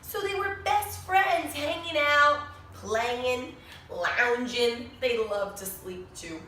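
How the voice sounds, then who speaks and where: A woman reading a picture book to young children in an expressive, sing-song way, pausing to explain things and ask questions. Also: sits high. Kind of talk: animated read-aloud story for young children. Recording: very echoey room, distant mic, faint noise.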